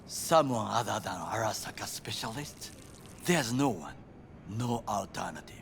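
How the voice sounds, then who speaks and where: An actor playing a grave, proud middle-aged Japanese ex-bodyguard in a video game, speaking formal, clipped English with a Japanese accent. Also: male voice, fairly low pitch, expressive, a little slow, vocal fry, a little hiss or hum. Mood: grave, firm. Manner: formal, clipped, stern phrasing. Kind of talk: video game dialogue acting.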